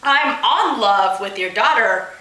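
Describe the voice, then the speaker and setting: Woman putting on a smug, dim teenage boyfriend, drawn-out. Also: medium pitch, animated, pushed and bright, echoey room, rough recording. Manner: drawn-out, exaggerated, broken grammar.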